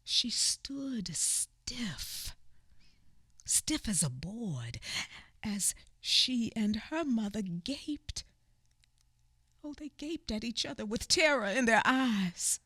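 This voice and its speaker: A woman with a husky, breathy voice narrates very slowly and solemnly, often dropping to a hushed whisper. She is recorded dry and close, with no room echo. Her voice sits a little high. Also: big pitch swings. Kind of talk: dramatic radio theatre narration.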